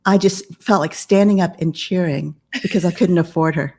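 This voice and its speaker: Woman, 50s, author and coach. Calm, steady and measured, with a lowish voice. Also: slightly rough. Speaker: guest business coach and author. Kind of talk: podcast interview conversation.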